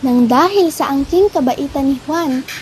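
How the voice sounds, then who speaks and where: A woman's voice: sits high, measured pace, darkish tone, a little hiss or hum, rough recording. A young woman narrating a scripted children's tale for a puppet show.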